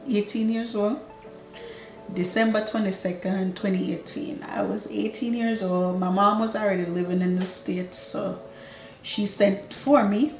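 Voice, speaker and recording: A woman's voice: medium pitch, darkish tone, reverberant, poor audio. A Jamaican woman in her thirties, chatting casually and unhurriedly through a get-to-know-me Q&A for her channel, laughing easily. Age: 30s. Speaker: lifestyle YouTuber.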